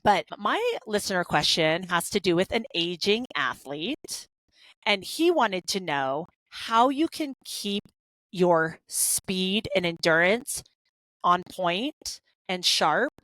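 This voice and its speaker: A woman's voice: lowish voice, animated, slow pace, fairly bright tone, dry recording, low-quality recording. Chatty woman co-hosting a podcast. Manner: chatty, candid, many fillers and restarts. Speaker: podcast co-host. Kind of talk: casual podcast conversation.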